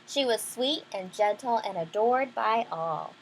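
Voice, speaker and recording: Casting: female teacher, higher register, children's read-aloud style, simple explanations and frequent check-in questions. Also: expressive, a little slow, slight background noise, low-quality recording.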